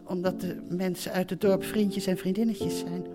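A woman's voice: on the low side, steady tone, moderate pace, over loud music, lightly acted. An actress playing a worn-down middle-aged mother who runs a holiday park, in a radio play. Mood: dejected. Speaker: actor in a live Christmas radio play. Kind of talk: live radio drama acting and narration. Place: theatre stage before a live audience.

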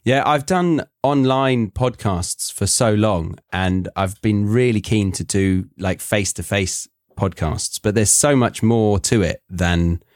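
A man's voice: on the low side, slow, hardly any pauses, no echo. A British man hosting a podcast, chatting in a laid-back way with dry wit. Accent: British English. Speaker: podcast host. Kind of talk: casual chat.

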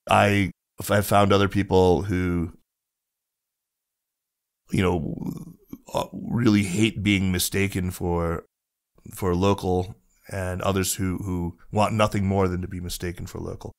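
A man's voice: low-pitched, flat delivery, takes it slowly, vocal fry, long pauses. A man speaking as a podcast guest in casual conversation, reflective, with frequent restarts and fillers.

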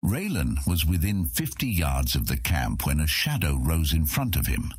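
A man with a husky voice narrating a scripted fantasy audiobook. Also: extremely low pitch, huge pitch swings, normal speed, no room sound.

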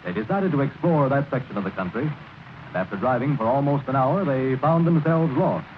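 A man's voice: mid-range voice, animated, normal speed. Male announcer on crackly old radio. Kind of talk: 1930s scripted radio narration. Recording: crackly 1930s radio broadcast, narrow band.

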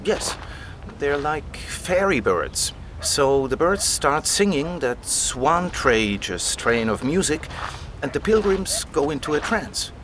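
A man's voice: fairly high pitch, sing-song, slow pace, a bit bright, a little hiss or hum, heavily acted. An actor playing a keen, patient male scholar who explains things like a storyteller in an audio drama. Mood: engrossed, explaining. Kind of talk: conversational audio drama dialogue.

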